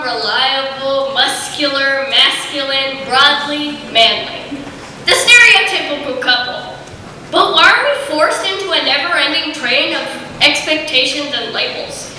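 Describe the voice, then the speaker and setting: A teenage girl performing spoken word poetry with a loud, emphatic delivery, standing back from the microphone. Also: high-pitched, slight background noise. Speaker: teen poet. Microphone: standing away from the mic. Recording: echoey hall from far off.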